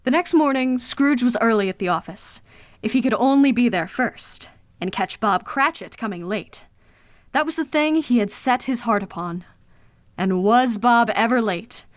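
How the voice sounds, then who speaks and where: A woman's voice: a little high, no room sound, clean studio sound. A woman narrating a radio play in a scripted storybook style. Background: loud music.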